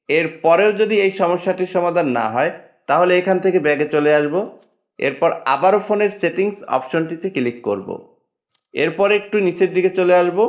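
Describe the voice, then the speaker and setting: A man narrating a step-by-step phone settings tutorial in a plain, steady instructional tone. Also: high-pitched. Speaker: tech tutorial host. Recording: dull lo-fi voice-over.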